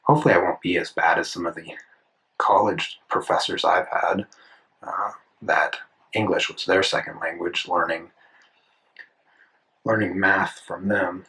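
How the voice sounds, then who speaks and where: A man in his twenties talks as he sketches, his voice low but bright and forward. He rambles, restarts and corrects himself as he works through his thoughts. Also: fairly even intonation, unhurried, pauses often, low-quality recording. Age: 20s. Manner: rambling, self-correcting, thinking aloud. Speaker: art channel host. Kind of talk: casual talk while drawing. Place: indoors at a desk, drawing in a sketchbook.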